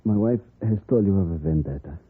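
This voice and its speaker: An actor playing a dignified, stoic dying Mexican don, speaking formally and solemnly in an old radio western. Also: male voice, low voice, expressive. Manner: formal, solemn, deliberate wording. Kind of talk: 1950s radio western acting. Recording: muffled, rough old radio recording.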